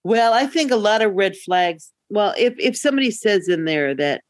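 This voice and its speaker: A woman's voice: mid-range voice, lively intonation, moderate pace, no room sound. Woman chatting casually in a dry recording. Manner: joking, teasing. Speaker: podcast co-host. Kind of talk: casual chat about online dating.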